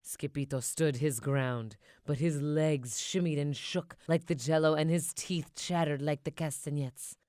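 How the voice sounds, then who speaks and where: A woman narrating a children's audiobook in an expressive storybook style. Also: low voice, a little slow, hardly any pauses, no room sound, clean studio sound.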